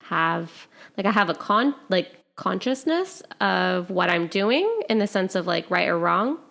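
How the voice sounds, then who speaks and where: A woman's voice: on the low side, animated, measured pace, creaky, fairly bright tone. A young adult woman co-hosting a podcast, chatty and giggly, often laughing at herself. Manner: giggly, chatty, self-deprecating. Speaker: podcast co-host. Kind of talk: casual chat.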